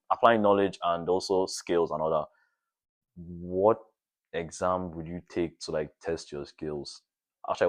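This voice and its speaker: Deep-voiced young man chatting informally. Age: young adult. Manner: informal, frequent "like" as filler. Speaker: podcast guest. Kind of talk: casual chat.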